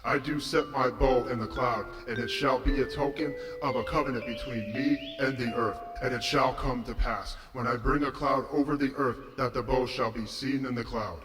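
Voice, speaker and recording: A man's voice: mid-range voice, fairly even intonation, normal speed, few pauses, pitched above the performer's own voice, close to an everyday voice. A man voicing God as a solemn, almighty figure, declaiming in a dramatized scripture reading. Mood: solemn, majestic. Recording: echoing voice with long hall reverb.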